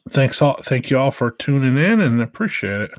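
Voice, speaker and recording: A man from the United States hosts a live stream with casual, rambling chat. His delivery is hesitant and mid-pitched, and the sound is dry and close. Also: sing-song, moderate pace, no echo. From United States, Southern Virginia. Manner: hesitant, meandering.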